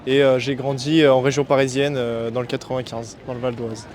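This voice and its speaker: A man from France answering a street interview, measured and hesitant with many fillers. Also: medium pitch, level tone, a little mellow. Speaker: passer-by. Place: city street outdoors.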